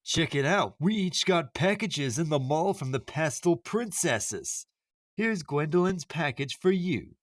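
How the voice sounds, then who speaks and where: A man's voice: fairly high pitch, expressive, a little slow, no echo. A man playing a friendly, eager young prince in a fandub drama. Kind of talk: fandub character acting.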